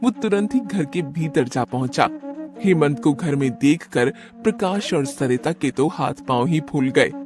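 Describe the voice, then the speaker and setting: High-pitched, lively male story narrator. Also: unhurried, over music. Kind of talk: scripted storytelling narration for animated moral tales.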